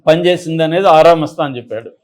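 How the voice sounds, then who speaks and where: Man, slightly high pitch, political commentary, unscripted, explanatory, emphatic, repetitive. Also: fast, a little mellow. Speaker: political analyst on a news discussion channel.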